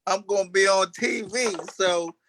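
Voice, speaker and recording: Actor guy just chatting, high bright voice, keeps stopping and restarting. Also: a little slow, slight background noise. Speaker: actor, interview guest. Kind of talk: casual chat.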